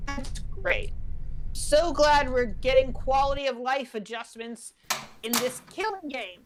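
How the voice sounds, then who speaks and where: A woman with a high voice gives casual reaction commentary on a stream. She talks conversationally, as if chatting along with what she watches. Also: measured pace, background noise.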